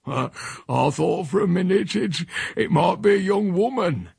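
A gruff, stubborn old countryman barks his demands in broad rural speech, as a man puts him on. The voice is gravelly and husky, with big swings in pitch, and it is recorded close and dry. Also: lively intonation, a little slow, no echo, exaggerated voice. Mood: grumbling. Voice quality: rough rural dialect speech. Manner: loud, grumbling, demanding.

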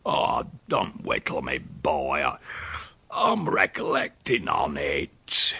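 A man voicing a wicked, droll old country uncle with a rural English accent, gruff and fruity, spinning tall tales in a storybook reading. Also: extremely low pitch, huge pitch swings, pushed and bright, dry recording, voice pushed down, full character voice. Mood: evasive. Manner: sly, drawn-out dialect storytelling.

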